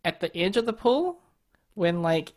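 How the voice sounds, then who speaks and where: A man's voice: high-pitched, very animated, unhurried, a little mellow. A young man co-hosting a podcast, chatting in a playful, joking way. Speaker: podcast co-host. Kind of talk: casual chat.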